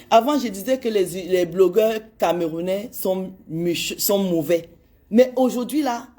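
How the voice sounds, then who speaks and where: A woman's voice: mid-range voice, a little mellow. Impassioned woman in her forties. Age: 40s. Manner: impassioned and pleading, repeats phrases. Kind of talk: direct address to followers on social media.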